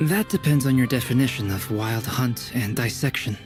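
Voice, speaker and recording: An actor playing a scholarly, analytical young researcher in a video game, speaking in a measured, precise and formal way. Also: male voice, medium pitch, natural voice. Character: scholarly young male researcher, composed, analytical, prone to lecturing. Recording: music playing behind the voice.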